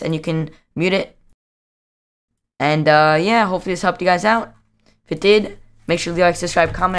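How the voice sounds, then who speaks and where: A young man narrating a casual software tutorial as the host of a video. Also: sits high, smooth.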